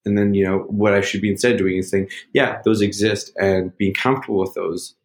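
A man's voice: fairly low pitch, fairly even intonation, fairly quick. A man in casual conversation, talking through his feelings in a rambling, self-searching way with lots of fillers. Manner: loud at times. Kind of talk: casual reflective chat.

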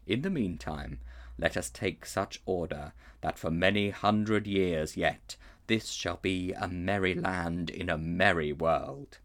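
A man voicing a great lion who speaks slowly, low and deep, with a hint of a growl. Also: fairly bright tone. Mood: grave. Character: vast solemn male lion, grave and commanding.

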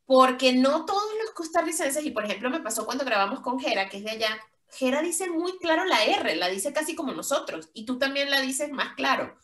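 A young woman with a softened Venezuelan accent, chatting casually as a podcast co-host. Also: high-pitched, expressive, brisk, echoey room. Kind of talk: casual chat.

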